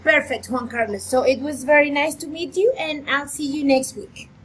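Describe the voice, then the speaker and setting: A woman's voice: high-pitched, normal speed, a bit bright, poor audio. A woman in her thirties from Colombia, an English teacher giving an encouraging online lesson over a video call. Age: 30s. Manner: friendly, encouraging, switches between Spanish and English.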